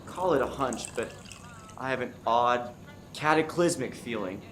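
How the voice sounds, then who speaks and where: A man's voice: mid-range voice, animated, a little slow, a bit bright, slight background noise. A man playing an anxious, gloomy diner regular in a comic radio play. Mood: uneasy.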